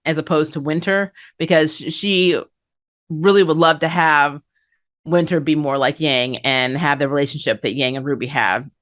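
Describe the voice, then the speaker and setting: A woman's voice: deep voice, fairly even intonation, normal speed, fairly bright tone. A woman hosting a review, recapping an episode in a chatty, conversational way with casual commentary. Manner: chatty, conversational, acts out characters' lines. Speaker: anime review channel host.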